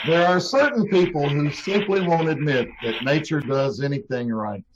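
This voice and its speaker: A man's voice: fairly high pitch, normal speed, natural voice. A man playing a wry announcer, reading scripted old-time radio comedy narration over a video call. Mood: wry, measured. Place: live video-call performance. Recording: dull, slightly noisy video-call audio.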